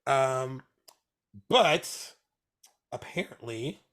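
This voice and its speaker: A man co-hosting a casual movie podcast, chatting loosely with lots of ums and restarts. His voice is mid-pitched and is still recovering from a bout of laryngitis. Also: very slow. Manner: relaxed, rambling, many fillers and restarts. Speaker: podcast co-host. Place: Hermosa Beach, California.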